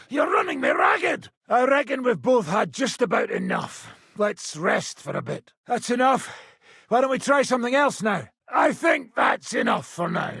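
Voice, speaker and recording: A boisterous British sellsword and combat trainer from a video game. His voice is very hoarse and raspy, pitched fairly high for a man, and swings wildly as he swaggers and teases. The recording is dry and close. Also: sharp tone, dry recording, full character voice. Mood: loud, taunting, then loud, winded banter. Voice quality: gravelly, raspy. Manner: swaggering, rough, teasing. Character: boisterous hard-drinking male mercenary swordsman, cocky and crude. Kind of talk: video game character dialogue.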